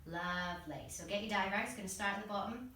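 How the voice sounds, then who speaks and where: A woman leading a warm-up as a workshop instructor, guiding step by step in an encouraging way. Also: medium pitch, fast talker, a little hiss or hum. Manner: encouraging, step-by-step, demonstrates as she talks. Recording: very echoey room, distant mic.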